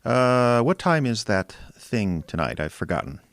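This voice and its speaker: Casting: middle-aged American husband, secret drinker, bitter and defensive, sarcastic formality, very lively intonation, dry close sound, audio drama. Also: male voice, mid-range voice, unhurried, dry recording. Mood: affable, evasive. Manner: sarcastic, overly proper when tipsy. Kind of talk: naturalistic audio drama acting.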